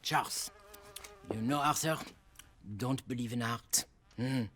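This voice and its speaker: A man's voice: mid-range voice, steady tone, slow pace, fairly bright tone, slight background noise. A man playing an eccentric, bawdy, self-mocking French painter with a French accent, rambling theatrically in a video game cutscene. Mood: bawdy musing. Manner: theatrical, rambling, hesitant interjections.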